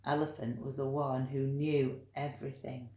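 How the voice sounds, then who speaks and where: A woman reading a children's story expressively, with dramatic emphasis and drawn-out key words. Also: a bass voice, level tone, warm tone, echoey room, rough recording.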